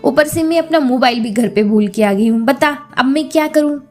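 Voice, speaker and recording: A haughty, well-off madam, played by a woman storyteller, fussy and full of disdainful questions. The voice is high, with music behind it. It belongs to a simple moral tale. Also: normal speed, close to an everyday voice. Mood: annoyed.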